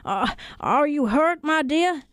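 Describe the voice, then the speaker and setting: Woman playing naive storybook husband, kindly, earnest, exclamatory, highish voice, dry sound. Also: expressive, slow, dry recording. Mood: worried. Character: excitable, naive, kindly married man in a folktale.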